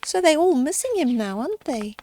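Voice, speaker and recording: A woman's voice: high voice, sing-song, brisk, warm tone, slight background noise. An older woman reads a children's story aloud, adding simple chatty asides for young listeners. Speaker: storyteller reading children's picture books aloud.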